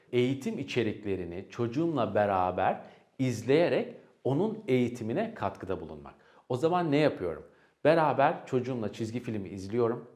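A man's voice: mid-range voice, a little mellow. A man giving an educational talk to parents, didactic, often posing questions and answering them himself. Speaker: parenting educator presenting advice. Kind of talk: explanatory educational presentation to parents.

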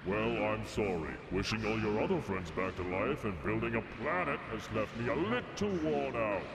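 A man voicing a huge gruff dragon in a parody anime dub, pompous and deadpan. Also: on the low side, normal speed, a crowd in the background. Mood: dismissive. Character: huge gruff wish-granting dragon, grandiose yet casual. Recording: cavernous reverb, noisy background.

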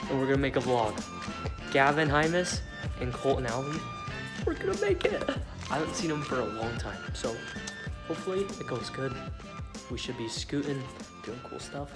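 A young man vlogging casually on a phone held at arm's length, joking and chattering quickly. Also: mid-range voice. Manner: goofy, jokey, hurried chatter. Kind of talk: casual vlog. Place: house rooms and outdoors at night.

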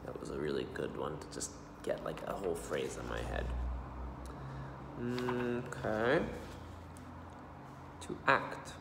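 A man in his thirties with an American accent, practicing a new language aloud at his desk, repeating words slowly and haltingly. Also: extremely low pitch, sing-song, creaky, heavy background noise. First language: English. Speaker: language learner. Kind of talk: self-study vlog, reading phrases aloud. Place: small room indoors. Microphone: laptop webcam at desk. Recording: roomy echo with long reverb.

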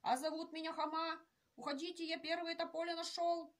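A woman voicing a small, greedy male hamster in a storybook reading, shouting and scolding indignantly. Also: very high-pitched, flat delivery, brisk, voice pushed up.

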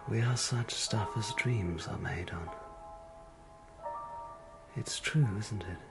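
An actor playing a gravely ill, grieving failed novelist, speaking weakly and in a hushed, halting way in a radio drama. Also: male voice, fairly low pitch, music in the background. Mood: wistful, despairing. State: weak and ill.

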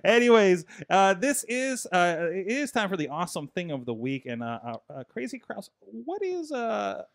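A middle-aged man hosting a casual tech podcast, talking in a rambling, halting way with many restarts, into a studio mic in a small foam-lined room. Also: high voice, sing-song, gravelly, no room sound. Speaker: podcast host. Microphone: large studio mic, headphones.

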